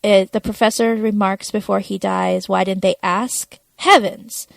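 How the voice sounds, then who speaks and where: A woman's voice: mid-range voice, fairly even intonation, unhurried, vocal fry, dry recording. A woman talking casually as a podcast co-host, chatting through a television episode. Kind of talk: casual chat about a TV episode.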